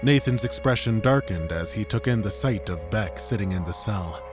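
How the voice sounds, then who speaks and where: A man's voice: lowish voice, expressive, normal speed. A man reading dramatic scripted narration as an audiobook narrator. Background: music.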